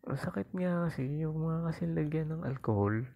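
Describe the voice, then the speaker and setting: A man's voice: a little high, expressive, normal speed, gravelly, dry recording, muffled recording. A man playing a cocky, teasing young boyfriend in an intimate ASMR roleplay, with a Filipino accent and a playful, coaxing manner. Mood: mock-whining. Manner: playful, coaxing, chuckling, mock-whining. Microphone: lapel mic into mobile phone, close.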